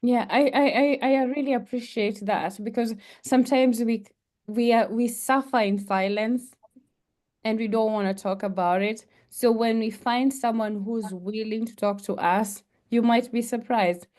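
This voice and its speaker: A woman's voice: a little high, steady tone, a little mellow. Kenyan woman, young adult, earnest panel speaker, hesitant delivery. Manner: earnest, halting with fillers. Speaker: panel speaker, international student. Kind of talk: presentation and discussion.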